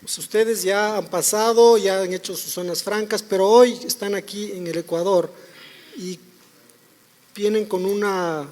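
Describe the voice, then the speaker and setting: High-voiced man moderating a panel. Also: fairly even intonation, unhurried, darkish tone. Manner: questioning, with restarts. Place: conference hall. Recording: reverberant event hall.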